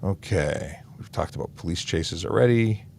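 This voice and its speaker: Man, very low gravelly voice, cop answering chat questions on a livestream, easygoing with lots of fillers. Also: moderate pace, a little hiss or hum. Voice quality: deep voice. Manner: relaxed, many "uh" fillers and restarts. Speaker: police officer hosting a traffic Q&A livestream. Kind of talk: casual livestream Q&A, reading and answering viewer questions.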